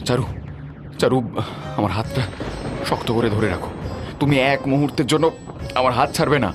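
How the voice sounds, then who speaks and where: A man's voice: mid-range voice, a little fast, over music, lightly acted. A man playing a kindly, trusting landlord and devoted husband in a melodramatic cartoon story. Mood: urgent.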